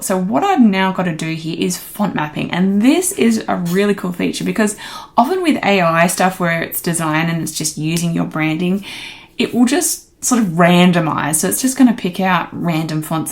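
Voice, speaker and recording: A woman with a lowish voice and a gravelly, fry-laden edge walks through a software setup one step at a time. She sounds casual and friendly, like a tutorial voice-over recorded close to the mic. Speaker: design tutorial creator.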